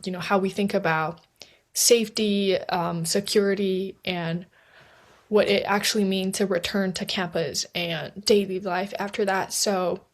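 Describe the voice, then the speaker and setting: A young woman from China, a native Chinese speaker, giving a heartfelt address with many hesitant pauses. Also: medium pitch, steady tone. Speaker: student speaker.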